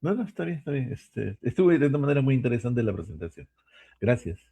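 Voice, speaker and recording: A man's voice: medium pitch, sing-song, quick pace, creaky, mellow, slightly rough. A man taking part in an academic seminar, casually asking a question and commenting.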